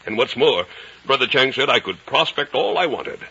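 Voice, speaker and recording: A folksy, boastful American fortune hunter in an old radio play. His voice is husky and forceful, with lively swings in pitch. It sounds dull and narrow, like a 1950 broadcast. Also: normal speed, full character voice. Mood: excited, scheming. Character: folksy, brash American fugitive financier turned prospector. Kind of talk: 1950s radio drama acting.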